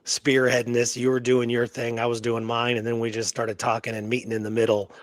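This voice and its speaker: A man in his forties with an average-pitched voice chats as a co-host. He talks loosely and colloquially, rambling along, and the recording is dry and free of room sound. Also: completely flat delivery, a little fast, no echo. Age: 40s. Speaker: podcast co-host. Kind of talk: casual chat.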